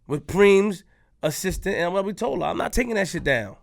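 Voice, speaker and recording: NY man, podcast co-host, quick and gravelly, loud street banter. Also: sits high, dry recording, clean studio sound. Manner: loud, animated, streetwise banter with frequent restarts. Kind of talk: casual chat.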